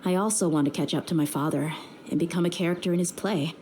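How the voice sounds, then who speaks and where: A woman's voice: fairly low pitch, fast, dark tone, slight background noise, close to an everyday voice. A woman playing a tough, candid young desert mercenary in a video game, speaking frankly and reflectively. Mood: calm, resolved.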